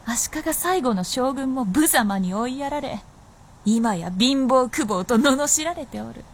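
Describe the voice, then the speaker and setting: A woman's voice: high-pitched, animated, a little slow, slight background noise. A woman acting as a proud, vengeful and teasing fox princess in a radio drama, speaking in an archaic, imperious way. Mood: vengeful, weary. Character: proud old-fashioned vixen spirit princess, vengeful and teasing.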